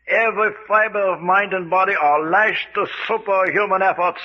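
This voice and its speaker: An actor playing a glib, coldly fanatical scientist with a pompous, precise manner in an old radio mystery serial. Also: male voice, sits high, normal speed, lightly acted. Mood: gleeful relish. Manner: pompous, precise, chuckling over grim matters. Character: glib, coldly fanatical male scientist, relishing fear experiments. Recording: dull lo-fi old radio recording.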